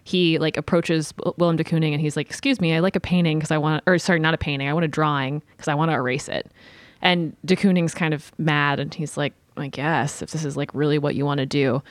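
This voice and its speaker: A woman's voice: deep voice, steady tone, fast talker, no room sound. A woman speaking as a podcast panelist, telling things in an informal, conversational way peppered with "like". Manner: informal, frequent use of "like". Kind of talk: casual conversational storytelling.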